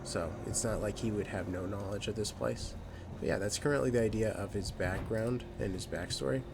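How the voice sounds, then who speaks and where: A man's voice: lowish voice, brisk, gravelly, background noise. A man with a nasal voice hosting a gaming channel, chatting casually and rambling with lots of fillers while he plays. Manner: rambling, with many fillers and restarts. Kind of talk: casual let's play commentary. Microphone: new microphone. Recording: game music behind the voice. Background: game music.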